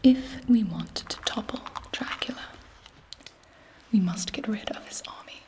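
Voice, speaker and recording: A woman voicing a scheming, haughty vampire queen in a breathy, deliberate ASMR whisper. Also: mid-range voice, natural voice. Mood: conspiratorial, calculating. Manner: slow, deliberate, imperious. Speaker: ASMR performer.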